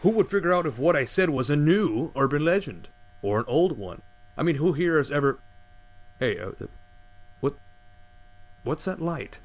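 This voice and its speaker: A man's voice: on the high side, animated, moderate pace, pauses often. An actor playing a flustered male radio host who rambles about conspiracy theories, with a faint mains hum behind.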